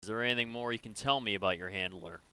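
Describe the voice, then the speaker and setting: Man as sci-fi game interrogator, lowish pitch, dry sound, measured questioning, matter-of-fact, businesslike. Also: sharp tone, clean tone, no room sound. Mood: probing, businesslike. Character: male military interrogator, probing and businesslike. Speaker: role-player in an online game. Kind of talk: improvised sci-fi role-play dialogue.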